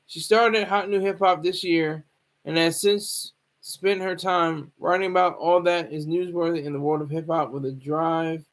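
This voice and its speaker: A man with a high-pitched voice talks off the cuff like a streamer commenting on the news. His delivery is casual and halting, wandering along as he repeats words and circles back over phrases. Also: steady tone. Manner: rambling, halting, repeats himself.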